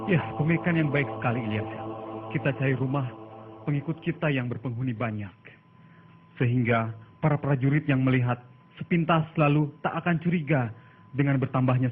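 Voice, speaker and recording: A man playing a devout, gentle prophet in a religious cartoon, with a solemn and caring manner. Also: medium pitch, lightly acted. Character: devout, gentle male prophet leading hidden followers. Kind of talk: dubbed religious cartoon acting. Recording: dull lo-fi audio under loud music.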